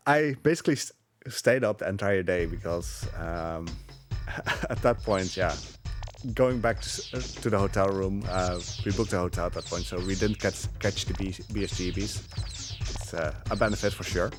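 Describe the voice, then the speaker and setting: A man giving casual live speedrun commentary in a halting, rambling, amused way. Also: sits low. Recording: game music behind the voice. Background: game music.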